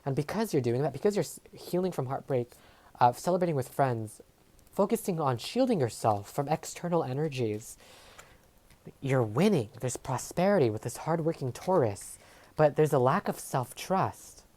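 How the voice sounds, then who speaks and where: Woman w a really deep voice doing a tarot reading, tagalog native, rambly and hesitant. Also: lively intonation, normal speed, warm tone. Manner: meandering, hesitant, full of fillers and trailing phrases. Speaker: tarot reader. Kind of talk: casual tarot reading monologue. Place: home filming setup. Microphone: overhead camera setup.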